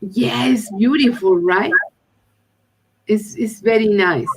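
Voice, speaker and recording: Slow, hesitant woman co-host. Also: medium pitch, breathy edge, poor audio. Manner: hesitant, many fillers and restarts. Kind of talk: casual introduction.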